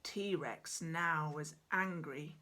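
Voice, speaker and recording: A woman in her forties narrating her children's picture book in a dramatic, deliberate, expressive style, indoors at home. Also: sits low, a little hiss or hum. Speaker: author reading own picture book. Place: small cluttered room at home, indoors.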